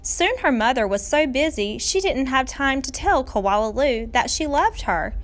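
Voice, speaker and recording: Animated higher-voiced woman reading a storybook. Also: a little slow. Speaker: teacher reading aloud. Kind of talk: expressive children's storybook narration.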